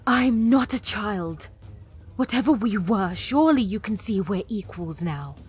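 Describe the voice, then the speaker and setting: An actress playing a proud, defiant stone mage, a wronged former student, earnest and emotional in an audio drama. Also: female voice, mid-range voice, lively intonation, measured pace, slightly rough, faint music behind.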